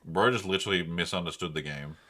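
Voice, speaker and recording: A man's voice: sits low, fast talker, pushed and bright. A man co-hosting a podcast in casual chat, speaking loosely with slang and swearing. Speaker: podcast co-host.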